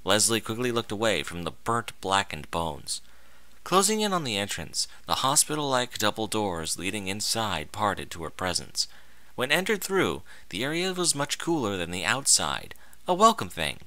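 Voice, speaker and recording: A man's voice: fairly low pitch, big pitch swings, normal speed, pushed and bright, no room sound. A man narrating a scripted story in a theatrical, dramatic manner.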